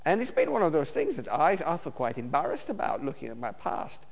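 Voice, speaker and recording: A man from Romania, preaching a personal testimony sermon in a halting way, with many fillers and restarts. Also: on the high side, wildly swooping pitch, gravelly. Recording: long reverb of a hall.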